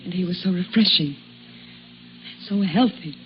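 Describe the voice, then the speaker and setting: An actress playing a guarded, bewildered, worldly woman accused of murder in a radio drama, speaking in a hesitant, refined and measured way. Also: lowish voice, close to an everyday voice. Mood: wistful, wry. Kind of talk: 1950s radio drama acting. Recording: muffled, noisy old radio recording.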